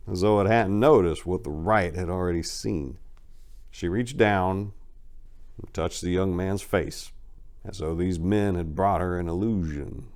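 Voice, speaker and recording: Low-voiced man, emphatic storyteller. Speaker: author reading their own crime fiction, show host. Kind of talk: dramatic story reading and informal commentary to listeners.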